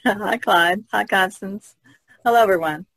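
A woman's voice: medium pitch, takes it slowly, a bit bright, husky, poor audio. A woman from New Jersey chatting casually as a podcast panelist. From New Jersey, United States.

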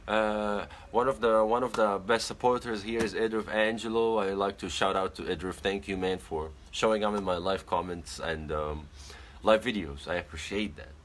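A man's voice: on the low side, steady tone, moderate pace. A young man from Egypt casually chatting on a phone livestream from his bedroom, reading out and greeting viewers by name. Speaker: livestream host. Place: small bedroom indoors. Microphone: phone camera at arm's length.

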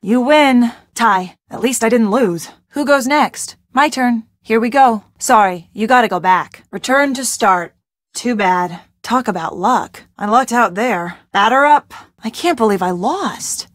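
Casting: young American female adventure-game sidekick, peppy and wry, fairly high voice, dry studio sound. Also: measured pace, no room sound. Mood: playful. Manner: expressive, colloquial, wry asides. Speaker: video game voice actor. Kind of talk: scripted adventure game dialogue.

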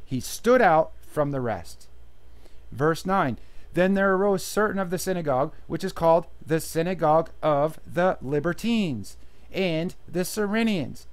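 Man, bible teacher, unhurried, higher pitched, reads scripture then explains it. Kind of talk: verse-by-verse Bible teaching over slides. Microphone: voice-over on slideshow.

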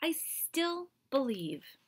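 A woman's voice: high-pitched, big pitch swings, very slow, pitched above the performer's own voice, full character voice. Woman voicing an eager, emphatic male mentor. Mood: earnest urging. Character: eager, encouraging man, street-wise tennis mentor.

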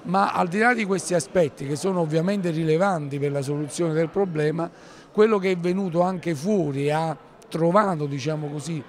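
A man answers an interview formally, with careful, wordy phrasing. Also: fairly high pitch. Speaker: mayor being interviewed. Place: large indoor council hall. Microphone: handheld interview microphone.

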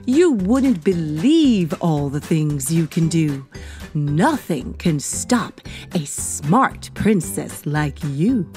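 A woman voicing a grand, kindly fairy godmother in a storybook, encouraging and measured. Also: deep voice, very animated, mellow, soft background music, pitched below the performer's own voice, heavily acted. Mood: warm, reassuring.

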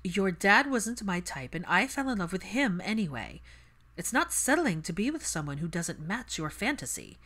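A woman's voice: medium pitch, very animated, moderate pace, fairly bright tone. A woman reading a storybook as a pragmatic, unyielding mother who lectures insistently. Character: pragmatic, set-in-her-ways older mother, critical and unyielding.

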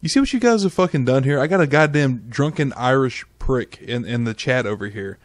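A man's voice: mid-range voice, lively intonation, brisk, no echo. A man co-hosting a podcast with casual, profane banter. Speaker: podcast co-host.

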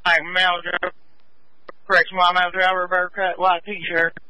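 Male dispatcher, high voice, crisp and clear, dry sound, short relayed updates. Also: monotone, normal speed, pushed and bright, rough recording. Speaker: police dispatcher. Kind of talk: repeating radio updates as dispatch.

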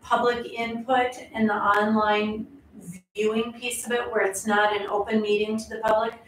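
A woman at a school board meeting explaining the plans in a measured way. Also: fairly high pitch, little pitch movement, slight background noise. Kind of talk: meeting discussion. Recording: echoey, distant room audio.

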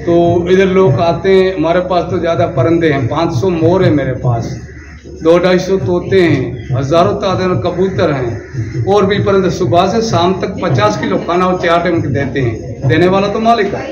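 Temple caretaker, man, middle-aged, from Sindh. Slightly high voice, informal explanation, roomy and muffled sound. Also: normal speed, crowd noise. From Sindh, Pakistan. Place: open doorway of a small temple. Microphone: phone camera a few metres away. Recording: echoey, dull phone audio with music.

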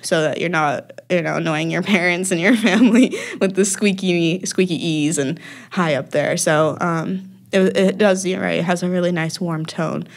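A young woman talks as a podcast guest. Her voice sits a bit low, and she chats casually, with frequent ums and easy laughs. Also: moderate pace. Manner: chatty, many ums, laughs often. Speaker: podcast guest, music festival worker. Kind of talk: casual interview chat.

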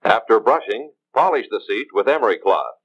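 An American man reading scripted instructional narration in a measured, formal way, as in a 1950s training film. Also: on the low side, very animated, breathy edge. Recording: dull 1950s film soundtrack.

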